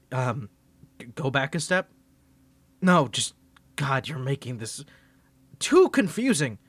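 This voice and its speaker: A man's voice: fairly high pitch, fairly bright tone, no room sound, exaggerated voice. A man voicing a flustered young tsundere male student rival in an ASMR roleplay, teasing and defensive, stammering when caught off guard. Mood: exasperated. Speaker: ASMR creator.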